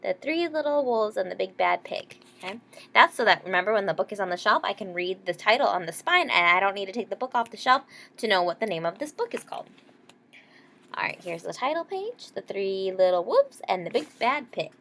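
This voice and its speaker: Female read-aloud storyteller, teacherly, expressive pitch, medium register, explains things to young listeners. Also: a little fast, vocal fry. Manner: checks in with listeners using short questions. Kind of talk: children's picture-book read-aloud with explanations.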